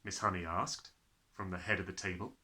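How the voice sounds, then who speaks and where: A man reading a children's story aloud with expressive, dramatic narration. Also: low voice, brisk. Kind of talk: animated scripted narration.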